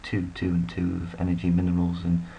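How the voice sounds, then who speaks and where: A man's voice: sits low, completely flat delivery, brisk, vocal fry, dark tone, highs cut off, a little hiss or hum. A man giving rambling, casual commentary while playing a strategy game, full of self-deprecating asides. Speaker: let's play commentator.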